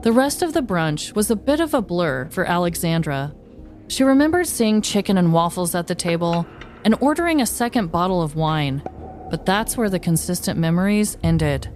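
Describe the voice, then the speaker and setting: A woman's voice: on the low side, animated, normal speed, darkish tone, faint music behind. A woman podcast host narrating a scripted comedic story.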